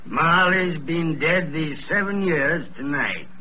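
A man's voice: fairly high pitch, expressive, slow pace. An actor playing a miserly, bad-tempered old businessman in an old-time radio drama, scolding and muttering. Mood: cold, contemptuous. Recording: muffled, noisy vintage radio recording.

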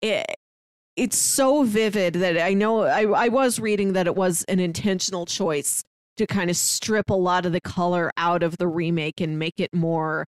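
A woman's voice: on the low side, steady tone, moderate pace, clean tone, no echo, clean studio sound. A woman co-hosting a podcast, explaining things in casual, conversational talk. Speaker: podcast co-host. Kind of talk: casual film discussion.

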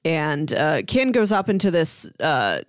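A woman's voice: low voice, very animated, measured pace, dry recording, clean studio sound. A woman co-hosting a casual film discussion, retelling scenes conversationally and voicing the characters' lines. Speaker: film podcast co-host.

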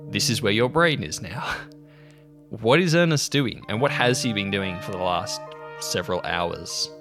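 A man's voice: on the low side, lively intonation, measured pace, music in the background. A man narrating as game master of a tabletop role-playing game, chatting casually between stretches of story.

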